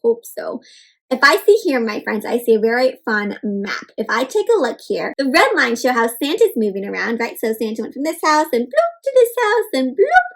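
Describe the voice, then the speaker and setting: A woman doing an interactive storybook read-aloud for children, animated, asking the listeners questions and adding playful sound effects and exclamations. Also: fairly high pitch, moderate pace.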